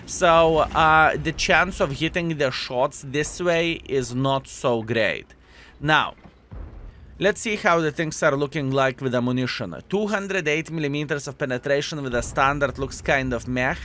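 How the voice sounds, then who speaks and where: A man's voice: on the high side, a little slow, bright, forceful tone. A man with a Lithuanian accent, hosting a video and chatting casually through a game, often calling his viewers "fellas". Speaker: gaming video host. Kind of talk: casual gameplay commentary.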